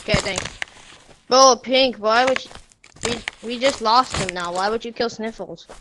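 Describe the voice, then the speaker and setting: A woman's voice: on the high side, a little slow. A woman hosting a gaming video, chatting casually with a friend in a teasing, exasperated way. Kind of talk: casual gameplay chat. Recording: steady background noise and clicks.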